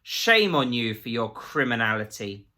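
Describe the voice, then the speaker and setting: A man's voice: mid-range voice, very animated, measured pace, pushed and bright. A man playing a prissy, menacing government agent in a story, officious, pompous and precise. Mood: roaring rage.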